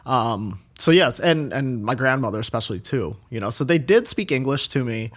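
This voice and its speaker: A man's voice: medium pitch, a little fast. Rambling guy chatting. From Chicago, USA. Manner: rambling, lots of "like" and "um", animated. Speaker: guest chef. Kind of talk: casual interview chat.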